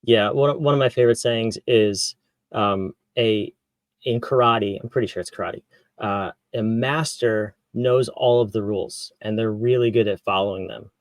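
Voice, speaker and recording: A man hosting an interview, asking questions in a relaxed, curious way with frequent restarts. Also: lowish voice, moderate pace, dry recording. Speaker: podcast host. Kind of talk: conversational interview.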